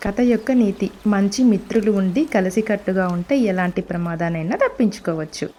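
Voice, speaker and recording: Female narrator for a kids' cartoon story: mellow, gentle, expressive, talks to the children. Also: medium pitch, brisk.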